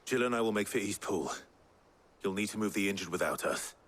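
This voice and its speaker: A man playing a weary, brooding outlaw lord in a video game drama, speaking gravely and with restraint. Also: lowish voice, a bit bright, close to an everyday voice. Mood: purposeful. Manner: grave, measured, understated.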